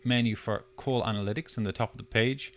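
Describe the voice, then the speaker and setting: A man presenting a software demo, talking through it step by step in a loosely unscripted, explanatory way. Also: on the low side, steady tone, brisk. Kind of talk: step-by-step screencast tutorial narration.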